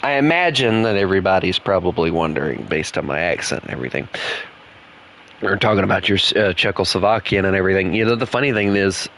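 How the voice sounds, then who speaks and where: Rambling young gamer, Southern US accent, lowish voice, bright forceful tone, light noise behind. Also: male voice, animated, fast talker. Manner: rambling, chatty, laughs often. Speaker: gaming streamer. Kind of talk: casual gaming chat.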